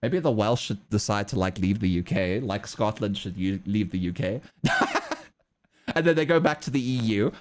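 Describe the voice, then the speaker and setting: A man's voice: lowish voice, wildly swooping pitch, moderate pace. A man from South Africa with a South African English accent mixed with Australian and American, rambling and chuckling as he chats casually with his livestream viewers. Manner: rambling, chuckling often, talks back to chat. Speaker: streamer and artist.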